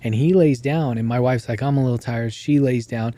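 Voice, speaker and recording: A man hosting a podcast, chatting casually with a hoarse voice that keeps going out and telling stories in an animated way. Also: mid-range voice, fairly quick. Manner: animated storyteller who laughs while telling. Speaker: podcast host. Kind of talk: casual interview chat.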